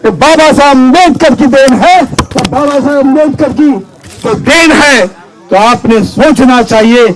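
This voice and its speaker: Very high-pitched man giving slow rally speech. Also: poor audio. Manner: forceful, declamatory, repetitive rhetorical questions. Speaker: Buddhist monk and activist speaker. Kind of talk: impassioned political rally speech. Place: stage at a public rally.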